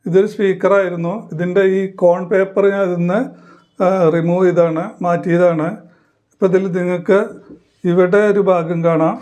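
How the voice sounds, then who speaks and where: High-pitched, monotone man teaching. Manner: halting, explains in short phrases. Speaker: electronics tutorial presenter. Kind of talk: hands-on demonstration, explanatory teaching.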